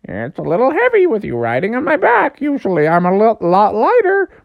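A man's voice: high voice, huge pitch swings, brisk, creaky, no echo, muffled recording, voice pushed up, exaggerated voice. A man voicing a goofy, eager magic goose that talks oddly like a parrot, in a bedtime story. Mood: strained. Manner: odd parrot-like talk.

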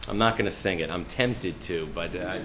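Deep-voiced male rabbi lecturing, thoughtful and self-deprecating; muffled recording with faint hiss. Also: moderate pace. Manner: thoughtful, self-deprecating, with frequent restarts and fillers. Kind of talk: classroom lecture.

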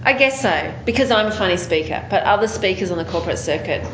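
A woman's voice: medium pitch, expressive, quick pace. A woman in her forties, an author and speaker, answering interview questions in an articulate, self-deprecating, joking way. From born in South Africa, raised in the UK. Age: 40s. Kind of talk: casual interview chat. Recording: roomy dull recording with faint noise.

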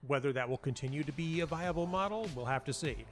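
A man speaking as an interview guest, telling personal anecdotes casually in a halting way, interrupting himself and repeating words for emphasis. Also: on the high side, soft background music. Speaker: guest, playwright and audio dramatist.

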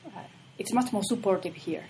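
A woman's voice: mid-range voice, expressive, moderate pace, dark tone, a little hiss or hum, rough recording. A woman, a university business lecturer, chatting agreeably and often affirming others. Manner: agreeable, frequent affirming interjections. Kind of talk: casual discussion.

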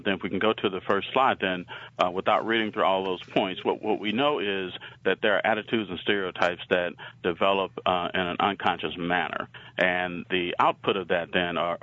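A man from Alabama with a Southern accent, giving a slide lecture in a measured way, with many pauses and restarts. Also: low-pitched, sharp tone, muffled recording, slight background noise. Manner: measured, frequent uh fillers and restarts.

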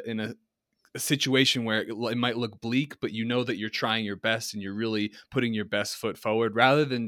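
A man's voice: fairly low pitch, pushed and bright, no room sound, studio quality. A man with a New Jersey accent hosting a conversational interview show, talking casually with loose phrasing. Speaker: podcast host.

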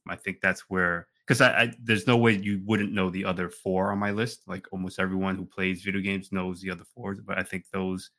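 A man with a fairly low but bright, forward voice co-hosts a casual podcast. He talks emphatically, circling back to repeat phrases and checking in as he goes. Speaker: podcast co-host.

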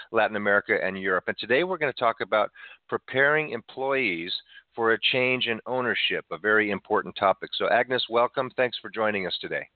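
A man hosting a business radio show, reading a guest introduction in a measured way. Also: lowish voice, no room sound. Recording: tinny phone-like sound.

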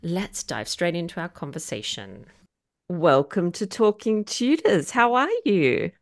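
A woman's voice: on the low side, sing-song, measured pace, dry recording, clean studio sound. A woman hosting a history podcast, welcoming and encouraging her guest. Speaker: podcast host. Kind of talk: podcast interview.

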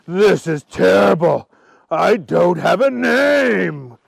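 A man voicing a big, gentle, forgetful dragon in a children's story, slow and drawn-out with booming exclamations. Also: creaky, no room sound, clean studio sound, exaggerated voice. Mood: distraught.

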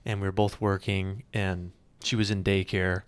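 A man's voice: low voice, flat delivery, normal speed, clear, resonant tone, no echo. A man in his thirties hosting an interview, talking casually with many fillers and restarts. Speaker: podcast host.

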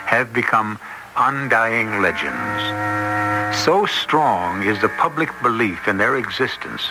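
A male announcer with a low voice delivers a scripted old-time radio introduction. The phrasing is formal and measured, and music plays clearly behind the voice. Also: rough recording.

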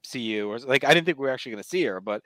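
A man's voice: medium pitch, expressive, very fast, a bit bright, no echo. A male podcast host gives a casual recap of a TV episode in a mid-range voice. He talks conversationally, slipping into quoted dialogue as he retells scenes. The sound is dry and close.

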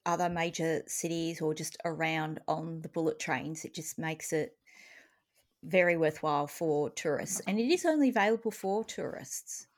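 A woman hosting a podcast in a casual, conversational style. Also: low voice. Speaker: podcast host. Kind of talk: casual chat.

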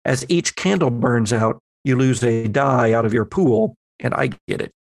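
Gravelly man running a tabletop game. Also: mid-range voice, normal speed. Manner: instructive, guiding players with questions. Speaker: game master and podcast host. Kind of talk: explaining tabletop game rules to players. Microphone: close personal microphone on a remote group call.

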